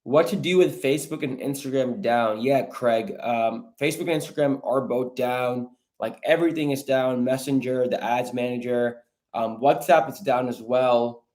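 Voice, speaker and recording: A man's voice: medium pitch, steady tone, normal speed, few pauses, a little mellow. A man hosting a livestream, answering viewer questions in a casual, coaching style and chatting informally with his audience. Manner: informal, addresses viewers as bro and guys. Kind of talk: casual live Q&A, coaching online sellers.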